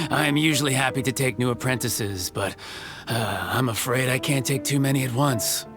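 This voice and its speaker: A man's voice: mid-range voice, normal speed, loud music behind the voice, lightly acted. A man playing a genial, humble old village carpenter in a video game, folksy and a little hesitant. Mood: welcoming.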